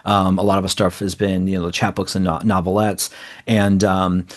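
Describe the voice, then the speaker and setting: Low-pitched man talking casually. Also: little pitch movement, normal speed. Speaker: interview guest, small indie publisher. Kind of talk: casual conversational interview answers.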